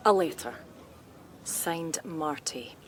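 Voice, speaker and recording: An actress playing a guarded, uneasy police detective in understated radio drama dialogue. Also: female voice, deep voice, slow.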